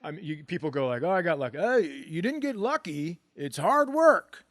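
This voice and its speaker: A man hosting a podcast with animated, casual comedic chat and occasional swearing. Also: a little high, moderate pace, dry recording, studio quality. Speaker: podcast host.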